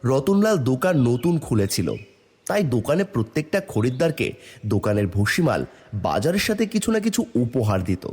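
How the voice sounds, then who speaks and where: A man narrating an animated moral fable as a scripted storyteller. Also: mid-range voice, moderate pace, husky.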